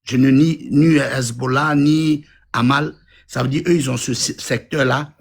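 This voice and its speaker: A man's voice: mid-range voice, fairly even intonation, a little slow, vocal fry, husky. A man in his fifties from Côte d'Ivoire, calling into a live talk room and speaking bluntly and insistently. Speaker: caller. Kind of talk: live audio room call-in.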